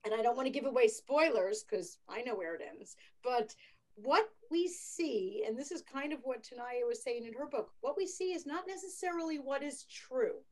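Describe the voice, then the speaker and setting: Talk show host: an enthusiastic, high-pitched woman, chatty and full of fillers. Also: expressive, moderate pace, low-quality recording. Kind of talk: casual interview chat.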